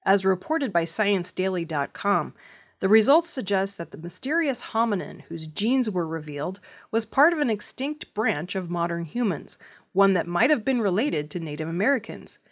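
Woman, news reader, mid-pitched voice, scripted narration, audio news report. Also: sing-song, normal speed.

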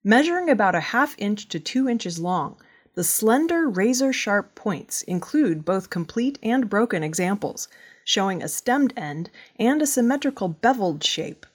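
A woman's voice: medium pitch, sing-song, normal speed. Woman reading scripted news, mid-range voice. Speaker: news reader.

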